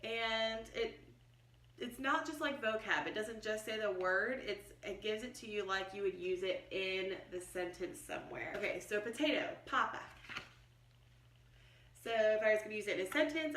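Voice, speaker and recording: A middle-aged American woman talks through a product in a chatty, explanatory vlogger style. Her voice is bright and forward, at an ordinary female pitch. The room adds noticeable echo, and she sounds a little way from the microphone. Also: slight background noise. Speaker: homeschooling vlogger and product reviewer. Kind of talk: casual unboxing review. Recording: roomy echo, distant mic.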